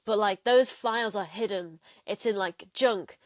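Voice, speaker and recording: A woman's voice: medium pitch, unhurried, no echo. A young woman hosting an interview, talking casually with lots of "like" fillers. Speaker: interviewer host.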